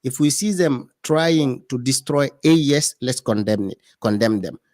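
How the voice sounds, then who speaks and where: A man's voice: medium pitch, expressive, moderate pace, a little mellow, no room sound. Earnest man, dry close sound. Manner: earnest, repeats phrases for emphasis. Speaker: guest commentator.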